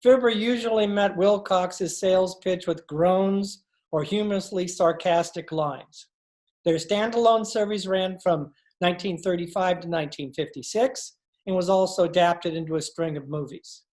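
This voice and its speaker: A woman's voice: lowish voice, normal speed, darkish tone. A woman reading scripted narration as the announcer of an old-time radio show.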